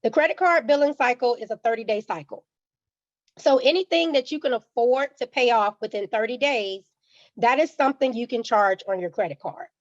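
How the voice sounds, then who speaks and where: A woman with a slightly raised pitch and a touch of rasp from being under the weather, walking listeners through a finance lesson like a teacher. She pauses to check in with a quick "okay?". The sound is dry and a little muffled, like a video call. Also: no echo. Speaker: guest speaker on personal finance. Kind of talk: church community finance presentation.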